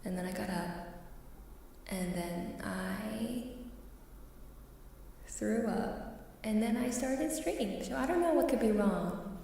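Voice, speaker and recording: Female ASMR streamer, gentle volume, casual chat with whispered stretches; heavily echoed, slightly distant from the mic, faint ambience behind. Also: mid-range voice, slow pace, creaky, long pauses. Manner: soft-spoken, often dropping into a whisper. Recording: heavily echoing reverberant room sound, faint noise.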